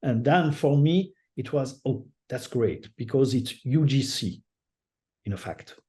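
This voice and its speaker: Older french guy, accent, kinda mellow voice, stops and restarts a lot. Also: medium pitch, lively intonation. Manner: halting, restarts phrases, laughs often. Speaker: guest artist. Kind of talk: casual interview over a call.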